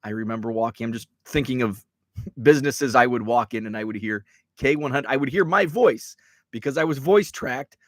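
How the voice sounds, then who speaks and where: A man's voice: medium pitch, moderate pace, no echo, clean studio sound. Tired, congested middle-aged male host. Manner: rambling and chatty, with many restarts and asides. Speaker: host of a card-collecting show. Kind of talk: casual live-show chat. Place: card room.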